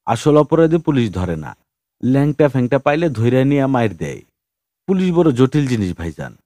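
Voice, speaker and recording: A man's voice: mid-range voice, big pitch swings, normal speed, dark tone, dry recording, clean studio sound, close to an everyday voice. A man voicing a huge, naively earnest street hustler in an audiobook, speaking colloquial rustic dialect in a respectful, pleading way. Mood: earnest, worried.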